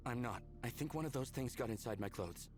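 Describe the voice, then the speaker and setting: A man's voice: medium pitch, animated, brisk, soft background music, lightly acted. A man voicing a nervous, hesitant character in a sci-fi visual novel, speaking politely and awkwardly. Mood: tense, pleading. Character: nervous, hesitant man meeting an alien creature.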